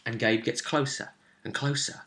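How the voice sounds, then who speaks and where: Bright-voiced man telling a game story. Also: mid-range voice, steady tone. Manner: halting, repeats phrases as the story builds. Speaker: game master recapping a tabletop session. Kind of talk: casual spoken storytelling.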